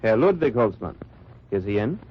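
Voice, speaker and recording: A man's voice: on the low side, big pitch swings, moderate pace. An actor playing an American spy posing as a German salesman, narrating in terse hard-boiled first person in a 1940s radio drama. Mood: earnest. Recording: muffled old radio recording with music.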